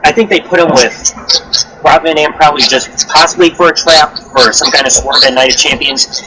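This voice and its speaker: A man's voice: a little high, moderate pace, a loud crowd, poor audio. A man on a casual panel steadily recapping and talking through events. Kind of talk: casual chat.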